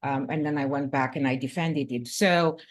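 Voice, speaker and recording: A woman from Bulgaria answering interview questions as a scientist, talking in a lively way with frequent "um" fillers. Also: extremely low pitch, normal speed. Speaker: guest scientist.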